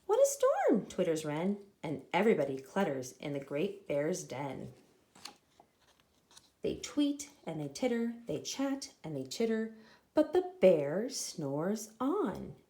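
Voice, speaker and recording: A woman reading a children's picture book aloud expressively, with deliberate, dramatic emphasis on the sound words. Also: low voice, very animated, dark tone. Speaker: storybook reader.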